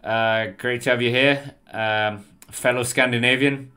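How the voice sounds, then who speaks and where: A man's voice: mid-range voice, fairly even intonation, a little slow, bright, forceful tone, clean tone. A Danish man with an English accent, giving casual, rambling live commentary on a stream and often correcting himself. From Denmark. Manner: rambling, with fillers and self-corrections.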